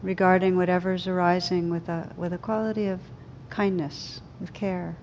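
A woman leading a guided meditation, speaking gently and soothingly in slow, unhurried phrases with pauses. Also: fairly low pitch, little pitch movement, dull audio, slight background noise. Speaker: meditation teacher.

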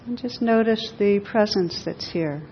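A woman leading a guided meditation for a group, speaking gently in short, unhurried phrases with long pauses. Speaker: meditation teacher. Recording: dull echoing hall recording, faint rumbling noise.

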